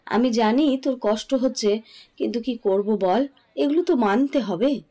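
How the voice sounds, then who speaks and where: A woman's voice: a little high, measured pace, dark tone. A woman playing a bossy but caring middle-aged mother-in-law in an audio story. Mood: caring, firm.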